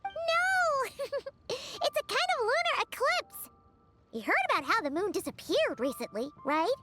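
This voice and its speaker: Squeaky, excitable little fairy girl. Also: measured pace, heavily acted. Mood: excited, insistent. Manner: exclamatory, cheeky, blunt. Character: small excitable squeaky fairy girl companion, cheeky and blunt. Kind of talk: video game voice acting. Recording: background music.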